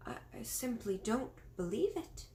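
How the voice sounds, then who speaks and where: A dignified, self-controlled queen with a refined, exclaiming voice that sweeps up and down, as a woman reading a storybook puts her on. Even when shaken, she keeps her composure. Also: on the high side, measured pace, gravelly, a little hiss or hum, voice pushed up. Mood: hushed. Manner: refined, exclaiming, later hushed.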